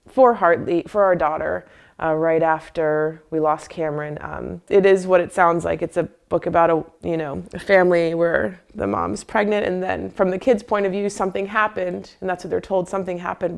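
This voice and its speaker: A woman talking candidly and haltingly in an informal conversational interview. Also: fairly low pitch, fairly even intonation, creaky. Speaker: interviewee, a parent.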